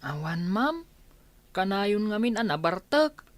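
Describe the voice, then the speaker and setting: A woman's voice: mid-range voice, sing-song, unhurried, dry recording. An earnest little village boy, voiced by a woman, speaks politely and full of questions in a radio comedy-drama. The sound is dry and close. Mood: shy, respectful. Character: young village schoolboy, earnest, polite, dutiful son.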